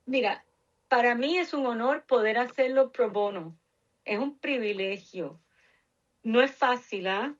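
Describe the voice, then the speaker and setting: A woman's voice: fairly high pitch, measured pace, highs cut off. Passionate older Puerto Rican-accented woman. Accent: Puerto Rican Spanish. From Cuba. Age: 60s. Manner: passionate, earnest, emphatic. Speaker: interview guest, researcher. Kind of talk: interview.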